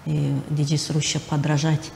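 A middle-aged woman answering interview questions haltingly, searching for words. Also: low voice, monotone. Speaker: interview guest, film studio director. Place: TV talk studio. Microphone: lapel. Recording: faint background noise.

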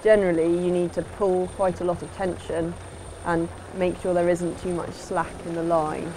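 A woman's voice: on the low side, little pitch movement, normal speed, heavy background noise. A woman giving an instructional voice-over as a sailing instructor, in a plain, explanatory way.

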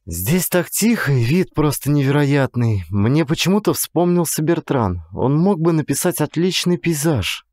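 A man voicing a playful, tender young woman with expressive, emotional delivery in an audiobook. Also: on the high side, normal speed, hardly any pauses, no room sound, studio quality, pitched above the performer's own voice. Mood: dreamy. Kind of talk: audiobook character dialogue.

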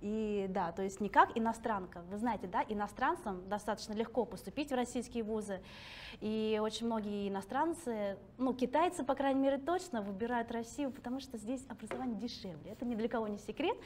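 A woman's voice: fairly high pitch, fairly quick, slight background noise. A woman in her thirties from Russia, talking casually and self-ironically to an audience in a library hall, laughing often. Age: 30s. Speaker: guest speaker.

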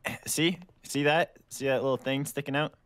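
A man improvising a reckless, boastful young survivor in a game roleplay, stumbling over his words excitably. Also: medium pitch, moderate pace, lightly acted. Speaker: player in a Minecraft roleplay.

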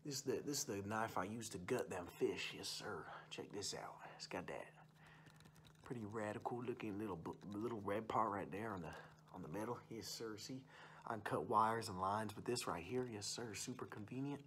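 A young man acts out a wild, rustic uncle in an ASMR roleplay. His voice sits a little low, and he rambles in a folksy, bragging way, circling back to stock phrases. The recording is made close up indoors, with audible background noise and hum. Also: moderate pace, a bit bright, close to an everyday voice. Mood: boastful, enthusiastic. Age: young adult. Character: wild rustic uncle, boastful hunting and fishing enthusiast. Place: small room indoors.

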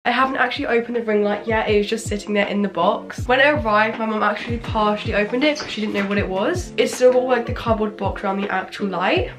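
A teenage girl with a croaky voice from a cold, chatting excitedly through an unboxing vlog in her bedroom, filmed on a camera mic. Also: mid-range voice, fast, hardly any pauses, music in the background. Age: teens. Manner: chatty and excitable, lots of "like" and "literally". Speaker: YouTuber. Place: bedroom, indoors.

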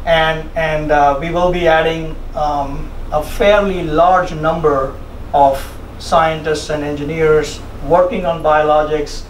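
A man's voice: a little high, steady tone, slow. A middle-aged man with an Indian accent gives an encouraging, conversational keynote to students, often checking in with "right?" or "okay?". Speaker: senior research executive giving a keynote. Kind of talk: orientation keynote speech to students. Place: conference room, indoors. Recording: roomy, distant mic, noisy room ambience.

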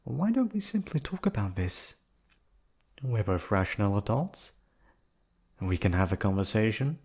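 A man voicing a calm, unhinged yandere receptionist who has kidnapped the listener, in an ASMR audio drama. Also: on the low side, unhurried, husky, heavily acted. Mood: menacing. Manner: soft, coolly reasoning, menacing. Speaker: voice actor.

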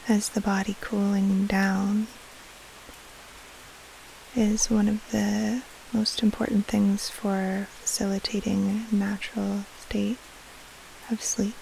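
A woman leading a guided sleep meditation as a yoga nidra teacher, in a hushed, breathy, soothing delivery with long pauses between short phrases. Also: mid-range voice, low-quality recording. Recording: faint hiss and rustle. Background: soft rain-like noise.

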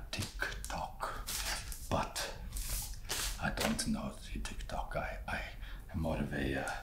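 Man playing a halting, deep-voiced awkward vampire. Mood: awkward, ingratiating. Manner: halting, hesitant, softly spoken. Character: awkward, creepy, fussy male vampire landlord. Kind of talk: ASMR roleplay. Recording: roomy echo, loud background noise.

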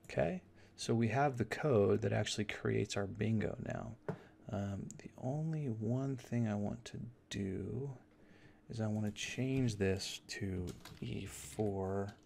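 Male tutorial instructor, slightly deep with noticeable fry, casual and unscripted, halting delivery, light background noise with keyboard clicks. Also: darkish tone. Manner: casual, halting step-by-step explaining. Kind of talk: informal unscripted screencast tutorial, thinking aloud. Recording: noisy room with keyboard clicks. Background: typing and mouse clicks.

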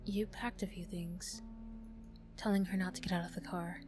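A woman narrating a story in a soft, hushed, breathy ASMR style with measured phrasing. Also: fairly low pitch, little pitch movement, over music. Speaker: narrator and voice performer.

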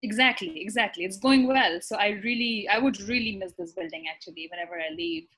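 A young woman from Pakistan speaks over a laptop webcam from a bright apartment room, her voice a little husky and breathy. She talks in a relaxed, thoughtful way, often pausing with ums and restarting sentences as she explains herself. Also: mid-range voice, rough recording. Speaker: physician guest. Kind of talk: casual conversational interview. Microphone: laptop webcam on a video call.

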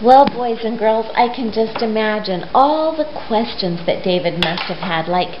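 A middle-aged woman doing storytime for young children, speaking simply with questions and pauses, recorded in a living room. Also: fairly high pitch. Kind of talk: storytime talk and read-aloud for young children. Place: living room at home, indoors. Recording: roomy, dull sound with faint noise.

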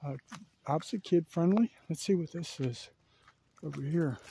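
A man's voice: fairly high pitch, normal speed, vocal fry, dark tone, slight background noise. A man narrating a casual walking tour of a campground, thinking aloud with lots of fillers, recorded outdoors on a handheld camera. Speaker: vlogger giving a walking tour. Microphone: handheld camera while walking.

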